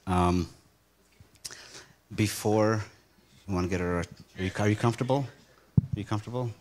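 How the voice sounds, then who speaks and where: A man's voice: fairly low pitch, moderate pace, a little mellow, a little hiss or hum. A middle-aged man born in Ukraine and raised in the United States, speaking to a congregation in an earnest, conversational way and often stopping to rephrase. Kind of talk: informal talk to a congregation.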